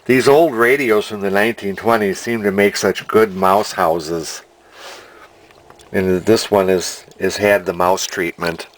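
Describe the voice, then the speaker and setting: A man, talking casually and unscripted as a collector in a deliberate, plainspoken, folksy way. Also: lowish voice, steady tone, low-quality recording. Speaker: antique radio collector and restorer. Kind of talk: casual unscripted video narration.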